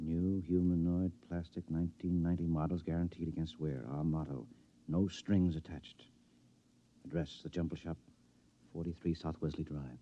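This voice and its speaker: An actor playing a curious, chummy henpecked husband with a halting, fussy manner in a 1950s radio drama. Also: male voice, low voice, flat delivery. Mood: intrigued. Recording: dull old 1950s radio recording.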